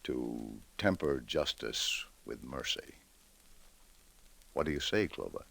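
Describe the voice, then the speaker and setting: An actor playing a solemn judge in a 1940s radio drama, speaking formally and deliberately from the bench. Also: male voice, very deep voice, lively intonation, vocal fry, a bit bright, breathy edge, full character voice. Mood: grave, deliberating.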